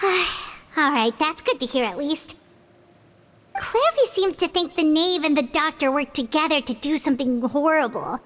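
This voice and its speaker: High-pitched chirpy little fairy companion. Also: female voice, animated, measured pace, fairly bright tone, slight background noise. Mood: uneasy. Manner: refers to self in third person, chirpy. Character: small childlike floating fairy companion, chatty, worried. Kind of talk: video game character dialogue.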